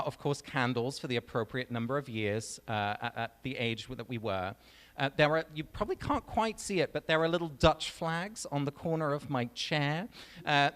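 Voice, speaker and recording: English man speaking in a reverberant hall. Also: mid-range voice. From England. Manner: warm, relaxed, personal storytelling with filler ums. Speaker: guest speaker. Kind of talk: public talk. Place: large venue on stage.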